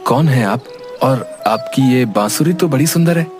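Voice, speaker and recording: A man playing a hot-headed, earnest young village man in a cartoon moral story, brisk and protesting. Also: medium pitch, expressive, over music, lightly acted. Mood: curious.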